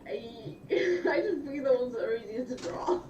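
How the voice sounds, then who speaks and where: A woman's voice: high-pitched, animated, moderate pace, lo-fi sound. A woman chatting casually and teasing playfully during an online game. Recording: echoey, distant, noisy livestream mic.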